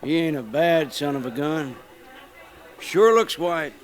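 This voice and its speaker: Folksy old-west cowboy, kinda high voice, halting, soft hearted, radio drama style. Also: male voice, lively intonation, noisy background. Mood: subdued, awkward. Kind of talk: old-time radio western acting.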